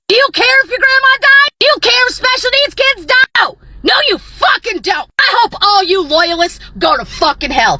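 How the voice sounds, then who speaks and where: Hoarse furious woman shouting a rant. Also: very high-pitched. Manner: furious profane shouting, voice cracking near tears. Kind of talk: angry political rant to camera.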